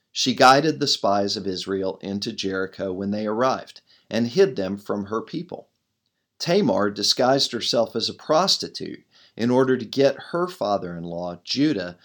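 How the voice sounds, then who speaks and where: A middle-aged man with a loud voice, a pastor reading his own devotional blog aloud in an earnest way, leaning hard on key words. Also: lowish voice.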